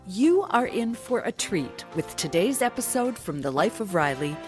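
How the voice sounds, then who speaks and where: A woman's voice: medium pitch, very animated, normal speed. An American woman hosting radio theatre with a scripted introduction. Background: music.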